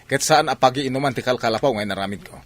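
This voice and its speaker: A man's voice: mid-range voice, racing, natural voice. A man with a Filipino accent playing a stern, exasperated elder brother who scolds and grumbles in a village comedy radio drama. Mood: scolding.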